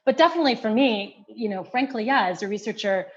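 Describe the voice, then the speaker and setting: A woman's voice: a little high, animated, quick pace, highs cut off. A middle-aged woman from the United States, an anthropologist answering interview questions in a thoughtful, casual way with many restarts and fillers. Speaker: guest anthropologist.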